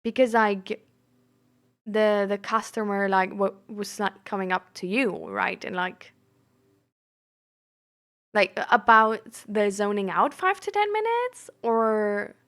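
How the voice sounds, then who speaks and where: Chatty young woman, slow drawl. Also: medium pitch, animated, a bit bright. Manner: chatty, filler-heavy, reacts aloud to chat with surprise and laughter. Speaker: streamer and peer support coach. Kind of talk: casual live-stream chat reading viewer messages.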